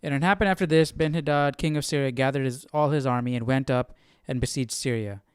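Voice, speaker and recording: A man reading aloud and commenting casually, thinking out loud in informal, slangy words. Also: medium pitch, fast, clear, resonant tone, no echo. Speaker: host of a live Bible study stream.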